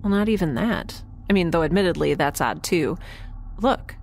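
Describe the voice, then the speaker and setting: A woman's voice: on the low side, animated, moderate pace, gravelly, slight background noise. A woman playing a thoughtful, curious young scientist, conversational and a little hesitant, in a naturalistic audio drama.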